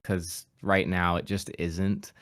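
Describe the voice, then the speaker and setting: Low-voiced reflective American man. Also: level tone, slow, fairly bright tone, no room sound, clean studio sound. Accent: mid-Atlantic American. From Appalachian region of the United States. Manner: reflective and self-deprecating, laughs easily. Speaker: podcast guest, voice actor. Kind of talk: casual conversational interview.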